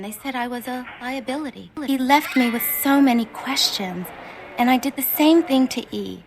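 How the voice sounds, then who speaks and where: Actress as distraught young vampire woman, high voice. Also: close to an everyday voice, poor audio. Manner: breathy, anguished, halting delivery. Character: distraught young female thin-blood vampire, hungry and guilt-ridden. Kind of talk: video game voice acting.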